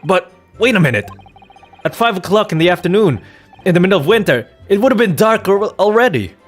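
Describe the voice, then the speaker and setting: An earnest young defense lawyer from a courtroom game , played by a man. His pitch swings widely as he speaks, polite yet hesitant. Light music runs underneath. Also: big pitch swings, fairly quick. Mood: insistent. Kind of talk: dramatic video game dub.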